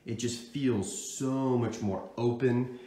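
A man's voice: mid-range voice, steady tone, slow pace, warm tone. A man in his thirties, a real estate agent, narrating a walk-through home tour on a handheld phone indoors. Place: indoors, hallway and rooms of an apartment. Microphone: phone held at arm's length. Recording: echoey rooms with background noise.

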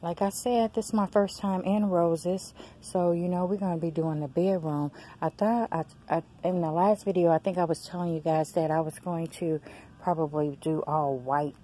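A low-pitched woman with a drawl chats to her viewers as she walks store aisles with a phone camera. She calls out prices, exclaims over finds and talks to her audience like friends. A faint store hum sits behind her. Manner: chatty, excited exclamations, addresses viewers as y'all and girl. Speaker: vlogger. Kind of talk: casual shop-with-me vlog. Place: large discount store aisles, indoors. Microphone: handheld phone camera.

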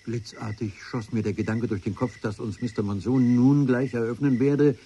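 A man in his sixties narrating a radio play in the first person, in a measured storytelling way. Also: medium pitch, fairly even intonation, mellow, slight background noise. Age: 60s.

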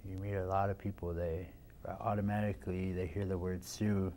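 A man's voice: low-pitched, flat delivery, normal speed, dark tone, slight background noise. A man in his thirties from Nebraska, a dance instructor and guest on a studio talk show, talking casually with lots of restarts and "you know" into a lapel mic. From Nebraska, USA. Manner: easygoing, rambling with many restarts and "you know". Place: TV studio set, indoors.

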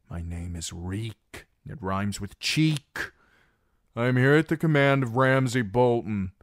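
A man's voice: on the low side, dry recording, studio quality, pitched above the performer's own voice, close to an everyday voice. A man voicing a broken, terrified young male captive who carries a message and pleads urgently with a hostile crowd.

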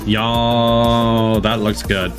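A man's voice: medium pitch, flat delivery, very slow. A man doing casual live reaction commentary in a blunt, informal, slangy way. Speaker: streamer. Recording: game trailer audio behind. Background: trailer music.

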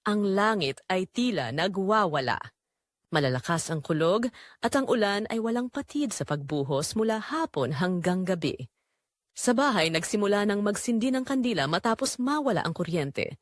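A woman's voice: mid-range voice, animated, normal speed, no room sound, studio quality. A woman narrating a dramatic romance story in the first person.

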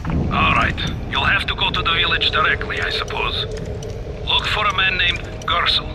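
An actor playing a brusque, cynical mercenary contact who gives curt orders in a video game cutscene. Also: male voice, a little high, animated, normal speed, music in the background, lightly acted. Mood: curt, businesslike. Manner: curt, instructing.

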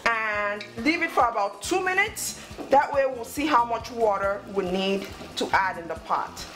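A woman's voice: on the high side, expressive, a little slow, a bit bright. A woman hosting a cooking show, giving step-by-step instructions in short phrases. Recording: music under the voice.

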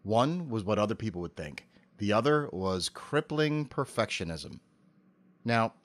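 A man speaking as an interview guest, giving prepared answers in an articulate, measured way. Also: on the low side. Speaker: interview guest, amateur voice actor and animator.

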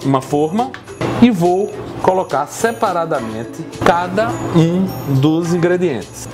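A man's voice: high voice, expressive, takes it slowly, music in the background. A middle-aged man, a chef and TV presenter, chatting at length in an interview in a restaurant and then explaining a recipe step by step in a kitchen, on a lapel mic. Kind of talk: interview then cooking demonstration. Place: small restaurant dining room, then kitchen.

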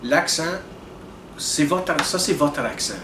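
Young man, earnest vlog commentary, unhurried, echoey room. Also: a little high. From Canada. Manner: earnest, deliberate, with frequent restarts and hand gestures. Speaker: video blogger. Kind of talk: direct-to-camera commentary. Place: indoors in front of a dark curtain. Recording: roomy, distant with air-conditioning hum.

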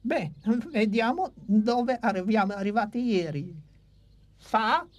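An old dinosaur teacher. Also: female voice, fairly high pitch, lively intonation, takes it slowly, no room sound. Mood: weary. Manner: patient, lecturing. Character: old brown male dinosaur teacher, fussy inventor. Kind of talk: dubbed cartoon acting.

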